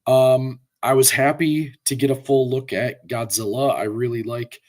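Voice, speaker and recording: A man whose voice is hoarse and rough from a lingering cold. He co-hosts a relaxed podcast chat and rambles through his opinions, circling back with fillers and restarts. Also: medium pitch, unhurried. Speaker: podcast co-host. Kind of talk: casual chat.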